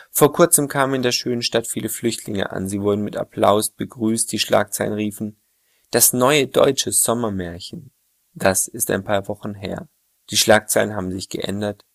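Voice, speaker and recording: A man reads a personal essay in an earnest, plain way. His voice sits fairly low and carries a strong gravelly fry. The sound is close and dry, with no room around it. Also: dry recording. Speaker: essay narrator. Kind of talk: reflective first-person narration.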